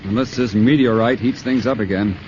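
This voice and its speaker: An actor playing a calm male fire truck crewman reporting over the radio, in 1950s radio drama style. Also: on the low side, a little fast, close to an everyday voice. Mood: steady. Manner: steady, matter-of-fact radio talk. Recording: dull noisy old radio broadcast.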